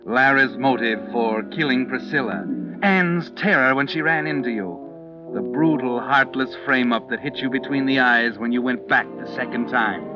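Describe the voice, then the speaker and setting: Actor as an ominous narrator over music. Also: male voice, mid-range voice, moderate pace, close to an everyday voice. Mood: ominous, knowing. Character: ominous omniscient narrator addressing the doomed protagonist. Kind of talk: 1940s radio mystery narration. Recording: dull vintage radio recording with music.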